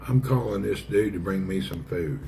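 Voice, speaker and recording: A man's voice: sits low, normal speed, gravelly, dark tone, a little hiss or hum. A middle-aged man with a Southern American accent, chatting casually with viewers on a live stream in a folksy, joking way. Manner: folksy, joking, reads chat aloud, swears casually. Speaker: livestream host.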